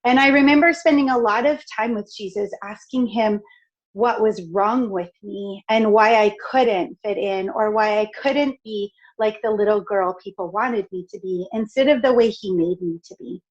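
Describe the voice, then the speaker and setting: A middle-aged woman from Canada with a raspy, crackly voice, talking in an outgoing, expressive way as a podcast guest. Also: mid-range voice, normal speed, dull audio. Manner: outgoing, expressive, laughs often. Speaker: podcast guest, speaker and author. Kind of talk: upbeat conversational interview.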